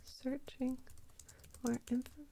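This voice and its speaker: A woman speaking softly in an ASMR style, murmuring to herself as she works at a keyboard, at times dropping to a whisper. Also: high voice, little pitch movement, a little slow. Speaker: ASMR roleplay creator and scriptwriter. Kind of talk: ASMR, quiet murmured aside while working.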